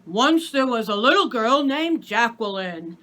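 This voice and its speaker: A woman as an indulgent storytelling papa, bright voice. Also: fairly high pitch, sing-song, measured pace, full character voice. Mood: patient storytelling. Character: sleepy, indulgent papa telling his child a story.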